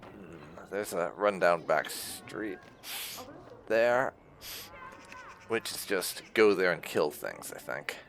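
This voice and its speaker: A man's voice: deep voice, vocal fry, a bit bright. A man giving casual playthrough commentary, rambling a little as he thinks aloud. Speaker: gaming video host.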